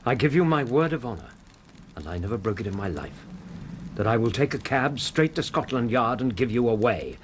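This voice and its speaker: A man portrays a loyal English gentleman doctor, stubborn and anxious, in radio drama style. His delivery is earnest and emphatic with wide swings of pitch, over faint background noise. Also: sing-song, brisk, close to an everyday voice. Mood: stubborn, indignant. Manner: earnest, emphatic, formal diction.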